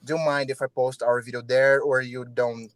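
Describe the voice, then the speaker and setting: A man's voice: mid-range voice, little pitch movement, no room sound. Brazilian man with a dry, close sound. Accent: Brazilian Portuguese. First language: Portuguese. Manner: halting, searching for words with restarts. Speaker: English learner. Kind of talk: casual practice conversation.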